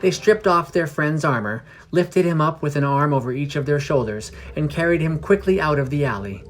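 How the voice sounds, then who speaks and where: A man's voice: fairly high pitch, lively intonation, normal speed, faint music behind. A man reading scripted fantasy fiction as a podcast narrator.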